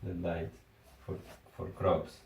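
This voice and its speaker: A man with a Venezuelan accent chats casually, his voice sitting a bit low. His English is halting, and he restarts words as he hunts for the right phrase. He sounds far from the mic in a roomy, echoing space. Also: completely flat delivery, vocal fry, a little hiss or hum. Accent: Venezuelan Spanish. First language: Spanish. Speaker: engineer. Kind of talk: casual conversation. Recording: echoey room, far from the mic.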